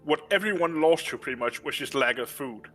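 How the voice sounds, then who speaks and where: A man from Denmark co-hosting a live stream, making dry, deadpan quips in casual chat. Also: on the high side, brisk, soft background music. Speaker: co-caster.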